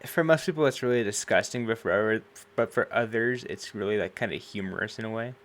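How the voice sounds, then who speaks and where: A young man with a fairly low voice chats in an easygoing way and laughs loudly and often. He talks close to a home streaming microphone. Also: fast. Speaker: podcast co-host. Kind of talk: casual chat.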